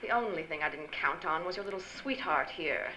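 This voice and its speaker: Sharp-voiced scheming femme fatale. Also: female voice, deep voice, animated, fairly quick. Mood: smug, bitter. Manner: theatrical, smug, biting. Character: vengeful scheming femme fatale, vain fallen actress. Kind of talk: old-time radio drama acting. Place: stage before a live audience. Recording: echoey live stage, faint noise.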